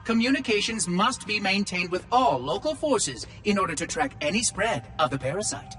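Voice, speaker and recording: A fussy, high, lively machine mind. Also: male voice, moderate pace, faint music behind. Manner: prim, precise, fretful. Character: fussy ancient machine intelligence, male. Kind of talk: video game cutscene acting. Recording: game audio with loud score.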